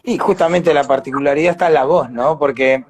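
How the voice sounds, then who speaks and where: An Argentine man with a fairly high voice talks in a live interview. He has a Rioplatense accent and an animated, conversational way of explaining things, as an actor would. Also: brisk, husky. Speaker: radio drama actor being interviewed.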